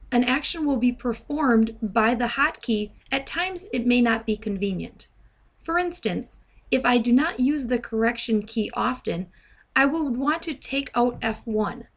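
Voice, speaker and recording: A woman presenting step-by-step software training in a deliberate, instructional manner. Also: on the high side, creaky. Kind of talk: step-by-step tutorial narration. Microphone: handheld dictation microphone.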